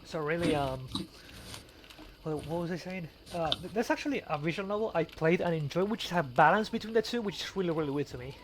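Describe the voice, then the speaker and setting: Male podcast host, slightly high voice, casual and rambling, stumbles over words, clear background noise. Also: expressive, a little fast. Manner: rambling, stammering, repeats words. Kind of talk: casual chat over gameplay.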